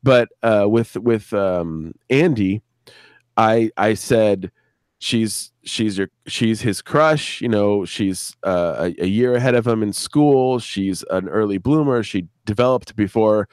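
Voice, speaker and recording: A man chatting casually as a guest show creator on a live stream, rambling and halting, repeating words and swearing freely. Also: fairly low pitch, darkish tone, clear, resonant tone, dry recording, clean studio sound.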